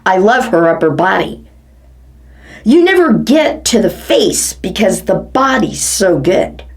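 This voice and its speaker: A woman from the United States giving a theatrical satirical reading, swinging between low mutters and high exclamations. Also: big pitch swings, unhurried. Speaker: performance artist. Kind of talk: satirical dramatic reading of quotes. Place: home living room.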